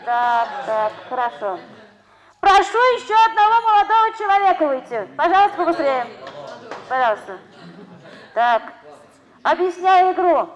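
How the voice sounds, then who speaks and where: Shrill, officious young games hostess. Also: female voice, sing-song, moderate pace, long pauses, muffled recording. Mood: insistent. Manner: bossy, stilted announcer phrasing. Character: officious young woman running a party's games. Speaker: evening entertainer. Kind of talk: Soviet radio play acting. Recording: noisy crowd behind. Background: noisy crowd in a hall.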